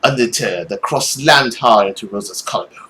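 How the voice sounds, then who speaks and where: A young man reading a visual novel aloud as a gaming host, expressive and theatrical, recorded at home. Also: mid-range voice, moderate pace. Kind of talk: dramatic read-aloud narration with reactions.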